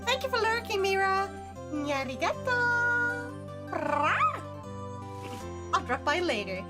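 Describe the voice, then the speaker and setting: A woman's voice: very high-pitched, sing-song, slow pace. A young woman streaming a game, chatting casually and bubbly with her viewers on a desk microphone, with game music behind her. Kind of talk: casual live-stream chat.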